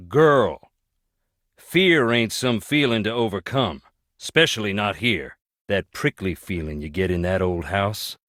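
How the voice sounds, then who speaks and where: Mystery game role: brooding Southern man, deepish voice, close dry sound, blunt folksy warnings, haunted by family tragedy. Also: a bit bright, no room sound. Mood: ominous. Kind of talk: video game character dialogue.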